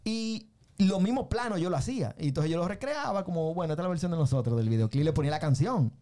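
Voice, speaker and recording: Guest spot: middle-aged Dominican guy, higher-pitched, mellow tone, lively storyteller. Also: fast talker. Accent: Dominican Spanish. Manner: animated, tag questions, repeats himself. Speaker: guest, filmmaker and musician. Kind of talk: casual interview storytelling.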